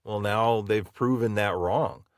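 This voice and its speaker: A man's voice: lowish voice, measured pace, dry recording, studio quality. A man co-hosting a podcast, talking enthusiastically and rambling with many "you know"s. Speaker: podcast co-host. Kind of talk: casual podcast conversation.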